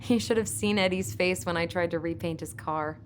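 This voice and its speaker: An actress voicing a wistful, playful young adult twin sister in a naturalistic video game style, expressive and wry. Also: female voice, fairly low pitch, fairly quick, a little hiss or hum, close to an everyday voice. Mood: animated.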